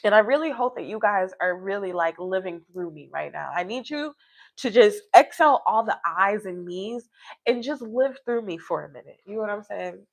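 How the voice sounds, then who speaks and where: A woman's voice: medium pitch, lively intonation, moderate pace, vocal fry. Woman rambling emphatically in casual livestream chat. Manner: rambling, emphatic, often repeats "you know" check-ins to listeners. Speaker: livestream host talking to viewers. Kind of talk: casual personal livestream chat, reflective and motivational.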